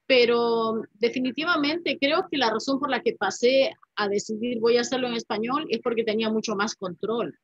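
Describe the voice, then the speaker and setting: A woman's voice: fairly high pitch, moderate pace, hardly any pauses, husky, no room sound. A poet in her fifties, a woman, speaking thoughtfully on a video call from home, often ending phrases with a questioning tag. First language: Spanish. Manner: thoughtful, reflective, many "¿no?" tags. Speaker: poet and writer, guest. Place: home room with paintings. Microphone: laptop webcam mic on video call. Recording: dull dry video call audio.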